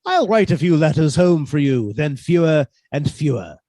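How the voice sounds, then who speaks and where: Male actor as henpecked murderous husband, radio thriller, lively swooping pitch, precise, politely menacing, dry close recording. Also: moderate pace, dry recording. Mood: gloating, menacing. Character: henpecked, meek husband turned coolly gloating murderer. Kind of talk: radio suspense drama acting with narration.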